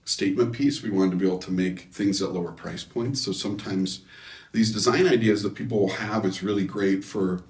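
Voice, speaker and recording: Low-voiced man explaining, in an echoey room. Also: fairly quick, a little mellow. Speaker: loudspeaker designer being interviewed. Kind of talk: casual technical interview talk. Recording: echoey room, distant microphone.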